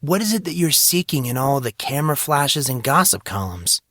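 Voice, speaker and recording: A man's voice: medium pitch, big pitch swings, normal speed, pushed and bright, no room sound, studio quality, voice pushed up, exaggerated voice. A man voicing an earnest, wry young rich man in a narrated fantasy story. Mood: probing, earnest.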